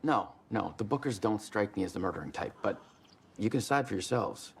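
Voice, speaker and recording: An actor playing an affable, folksy small-town sheriff in a game cutscene. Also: male voice, fairly low pitch, lively intonation, a little fast, gravelly, husky, heavily acted. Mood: friendly.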